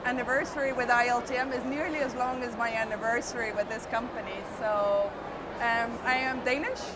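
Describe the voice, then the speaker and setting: A middle-aged woman from Spain, an executive telling her career story in a relaxed interview, speaking fluently with some fillers. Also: fairly high pitch, brisk. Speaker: hotel company executive. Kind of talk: conversational interview. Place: busy trade show floor. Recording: loud background noise at a trade show.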